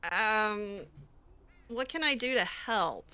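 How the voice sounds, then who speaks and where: A woman's voice: mid-range voice, animated, takes it slowly, pushed and bright, clean tone, no echo. A woman hosting a role-play gaming video, commenting casually in character with an exasperated, sardonic manner.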